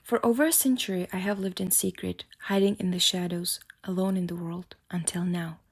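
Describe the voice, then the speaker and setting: A woman's voice: fairly low pitch, fairly even intonation, brisk, husky. A woman with a Czech accent voicing a brooding, centuries-old male vampire as he introduces himself. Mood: solemn.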